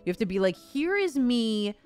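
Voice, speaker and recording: A woman's voice: on the high side, very animated, moderate pace, darkish tone, soft background music. A woman talking casually as a voice actor and host, acting out imagined quotes as she explains things. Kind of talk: casual conversational discussion.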